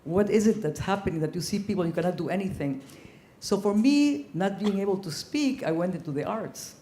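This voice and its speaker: An older woman from Ecuador speaking on a panel in a large conference hall, her voice mellow and warm. She talks earnestly and reflectively, filling her pauses with ums. The room's echo trails after her words. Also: mid-range voice, a little hiss or hum. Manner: earnest, reflective, frequent ums, growing animated. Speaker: panelist, former ambassador. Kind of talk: panel discussion storytelling.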